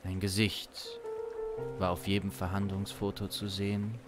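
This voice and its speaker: A man in his thirties improvising a crime story as narrator, deliberate and suspenseful, with long pauses, close on a studio mic. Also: low-pitched, monotone. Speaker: improvising audio-drama narrator. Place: small studio room. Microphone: close studio condenser mic. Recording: music under the voice. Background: instrumental music.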